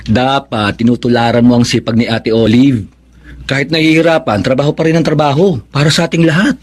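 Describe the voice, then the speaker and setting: An actor as a responsible young Filipino big brother. His medium-pitched voice is earnest and brotherly, in radio drama style. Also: fairly even intonation, a little fast, close to an everyday voice. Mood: earnest, chiding. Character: Glenn, responsible, mildly scolding young older brother. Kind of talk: Tagalog radio drama acting.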